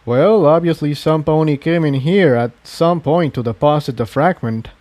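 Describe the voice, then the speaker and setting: A man's voice: a little high, moderate pace, no echo, pitched above the performer's own voice, lightly acted. A man voicing a level-headed male unicorn who reasons evenly as a peacemaker in a storybook reading. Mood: even, thoughtful. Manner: even, reasoning.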